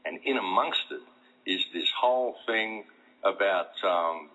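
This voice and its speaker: A man's voice: deep voice, measured pace, bright, forceful tone. A middle-aged man with a South Australian accent talking emphatically and insistently as a guest in a conversational interview. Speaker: talk show guest. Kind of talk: animated conversational monologue. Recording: muffled lo-fi internet radio audio.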